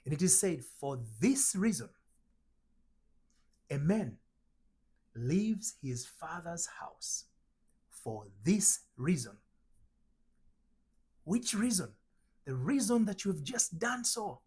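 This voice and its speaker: A middle-aged man from Tanzania giving a spiritual teaching talk, slow and emphatic, asking rhetorical questions. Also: on the high side, big pitch swings, darkish tone, slightly rough. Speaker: identity transformation coach.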